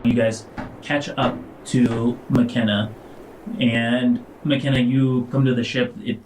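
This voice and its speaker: A man running a tabletop roleplaying game, telling the story to the players in a halting, deliberate way. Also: mid-range voice, darkish tone, poor audio. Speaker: game master.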